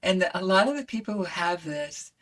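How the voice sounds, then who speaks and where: A woman voice coach explaining her ideas in an animated, conversational way and breaking into demonstration sounds. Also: on the low side, brisk. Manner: expressive, demonstrates voices with yawns, howls and shouts. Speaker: voice coach guest.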